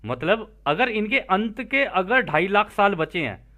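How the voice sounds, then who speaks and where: Man, Indian accent, higher-pitched voice, strongly varied intonation, informal spiritual commentary, conversational, repeats and rephrases. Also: big pitch swings, unhurried, bright, forceful tone. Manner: conversational, addresses listeners as friends, repeats and rephrases points. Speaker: presenter explaining a translated spiritual text. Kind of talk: informal spiritual commentary, reading passages aloud and explaining them.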